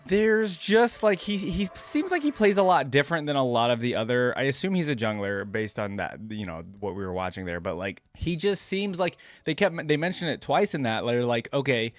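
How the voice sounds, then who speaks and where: A man's voice: medium pitch, big pitch swings, brisk, hardly any pauses, soft background music. A man giving casual reaction commentary, thinking aloud in short musing remarks. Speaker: reaction video host.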